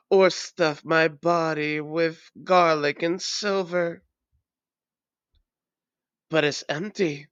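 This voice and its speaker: A woman voicing a menacing, taunting ancient vampire in a theatrical, deliberate audio roleplay monologue. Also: fairly low pitch, clean tone, no echo, dull audio.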